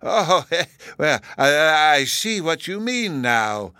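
Doddery storybook academic: high-pitched, hesitant, fussy, absent-minded, closely recorded dry sound. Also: male voice, expressive, slow, bright, forceful tone, no echo, full character voice. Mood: befuddled. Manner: stammering, muttering, chuckling hesitation. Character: absent-minded, dithering old male professor, peevish.

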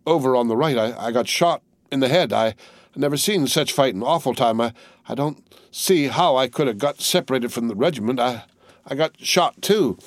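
A man's voice: medium pitch, normal speed, dry recording, pitched above the performer's own voice, natural voice. A man voicing a dazed, wounded young soldier who stammers and falters in rustic speech. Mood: shaken.

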